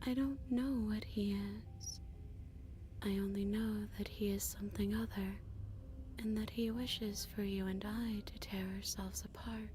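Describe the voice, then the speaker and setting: A woman playing an eerie, serene princess creature in a horror game, speaking in a breathy, hushed and deliberate way with quiet defiance. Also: medium pitch, fairly even intonation, faint music behind, close to an everyday voice. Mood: wary. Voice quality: breathy, whispery. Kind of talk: voiced visual novel horror acting.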